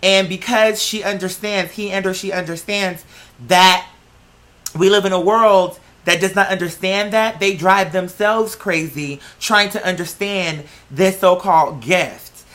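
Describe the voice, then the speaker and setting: An American vlog host chatting casually and emphatically, with slangy, sweary, animated rambling. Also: medium pitch, unhurried. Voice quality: slangy speech peppered with "girl" and swearing. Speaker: host of a talk show vlog. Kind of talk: casual opinionated solo chat.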